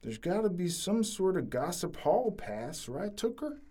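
A woman's voice: on the low side, big pitch swings, measured pace, mellow. A woman playing an antsy, scolding small-town husband in a comic audio drama, fussy and folksy with a Southern US accent. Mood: nervous, excited.

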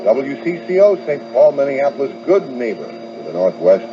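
A man's voice: mid-range voice, big pitch swings, moderate pace, music in the background. A man announcing station identifications in 1970s AM radio style. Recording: noisy, muffled 1970s AM radio aircheck.

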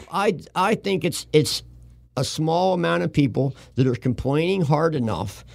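A man's voice: on the high side, creaky, darkish tone, dry recording, studio quality. An older man hosting a casual talk show, opinionated and rambling, his voice worn from talking. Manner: rambling, opinionated, restarts often. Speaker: host.

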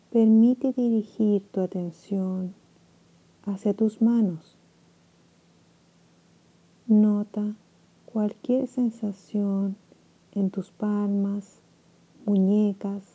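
A woman guiding a sleep meditation in a soft, soothing way, with long pauses between phrases. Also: medium pitch, steady tone, phone-quality sound. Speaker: meditation guide.

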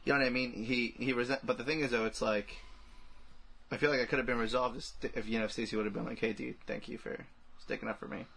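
A man with a bright, forward voice at middling pitch. He talks casually as a podcast co-host, restarting and repeating phrases in a slangy, halting flow. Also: steady tone, gravelly, husky. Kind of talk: casual film discussion.

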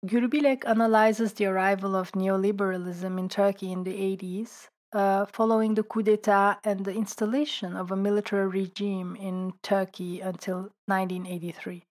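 A woman, a writer and translator, reading her own reflective essay in a calm, thoughtful way, sometimes dropping to a soft whisper. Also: mid-range voice, normal speed, few pauses. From Belgium. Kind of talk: reflective personal essay narration.

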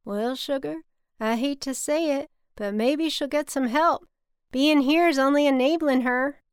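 A woman's voice: sits high, a little slow, no echo, clean studio sound, voice pushed up. A woman voicing a folksy, exasperated but kind businessman with a Southern US drawl, in an audiobook story. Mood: regretful, firm.

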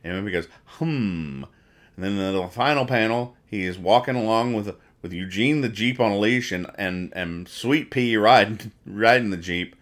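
A man with a fairly low voice hosts a casual podcast about comic strips. He talks through each one in a rambling way, explaining it with mock earnestness. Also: normal speed, hardly any pauses, a bit bright. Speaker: podcast host.

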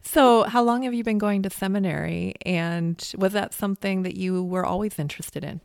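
A woman's voice: mid-range voice, normal speed, vocal fry, darkish tone, dry recording. Upbeat woman host, dry close sound. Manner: upbeat, enthusiastic, laughs readily. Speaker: podcast host. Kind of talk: casual podcast hosting. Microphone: video call.

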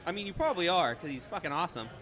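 A man's voice: high voice, very fast. A man in his twenties chatting casually as a podcast guest, rambling and swearing a lot. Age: 20s. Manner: excitable, swears often, rambling. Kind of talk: casual chat. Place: brewery.

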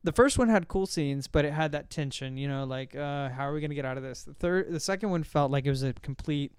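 A man's voice: on the high side, quick pace, clear, resonant tone, dry recording, studio quality. A man hosting a casual film discussion, rambling and often correcting himself as he recalls details. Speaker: podcast host.

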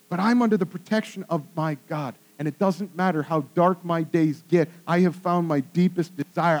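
A man preaching as a church pastor, animated and conversational, with quick asides to the congregation. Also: fairly high pitch, rough recording. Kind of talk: sermon.

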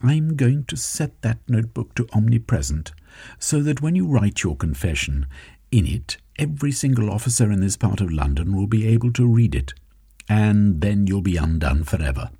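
A man voicing a bold, determined young hero who talks briskly. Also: lowish voice, very animated, creaky, dark tone, slightly rough, no echo, studio quality, exaggerated voice.

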